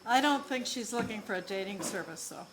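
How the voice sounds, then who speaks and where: A woman's voice: medium pitch, fairly quick, background noise. A woman hosting a live show, chatting and laughing with performers between acts. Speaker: event host. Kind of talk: casual stage emcee banter.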